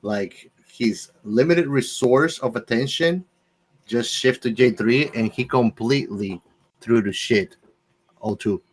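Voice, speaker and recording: A man's voice: medium pitch, slow, husky, low-quality recording. A man on a discussion podcast panel, talking casually and searching for his words as he thinks aloud.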